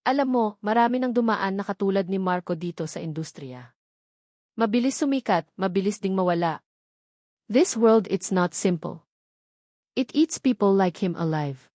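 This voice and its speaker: A woman's voice: medium pitch, expressive, normal speed, darkish tone, dry recording, natural voice. A woman narrator puts on a suave female star from a romance audio story. She is cool and condescending, measuring every word against a rival. The sound is close and dry.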